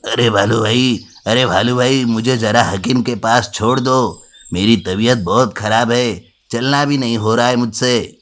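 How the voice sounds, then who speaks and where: A man's voice: medium pitch, steady tone, a bit bright, natural voice. A man voicing a sick, weary pig who pleads for help in a children's animal story. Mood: pleading, unwell. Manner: pleading, weak, slow.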